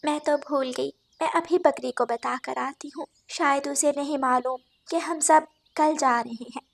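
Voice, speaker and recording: Woman voicing a high-pitched, caring cartoon mother bird. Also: steady tone, unhurried, gravelly. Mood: sad, resigned. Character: caring, worried mother bird, gentle and sensible.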